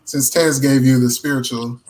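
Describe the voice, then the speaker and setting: Man, medium pitch, measured halting delivery, casual panel chat, songwriter guest sharing practical tips. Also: fairly even intonation, background noise.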